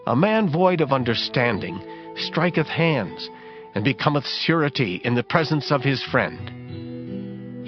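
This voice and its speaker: A man giving a solemn, declamatory reading of King James scripture in a dramatized audiobook style. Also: medium pitch. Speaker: scripture reader. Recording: dull lo-fi sound under prominent music. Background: music.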